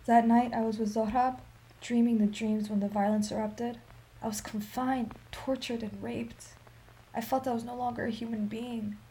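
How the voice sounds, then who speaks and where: An actress playing a sorrowful, dignified old Armenian woman in a radio play, telling her story in a solemn, measured, emphatic way. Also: on the high side, level tone, mellow, lightly acted. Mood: grieving testimony.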